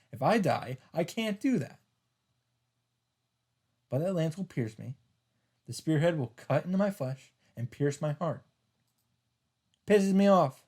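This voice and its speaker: A man with a slightly high voice reads first-person narration aloud. His pitch moves around in a lively way, and his delivery is theatrical and a little halting, leaning into dramatic emphasis. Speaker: streamer reading a visual novel aloud.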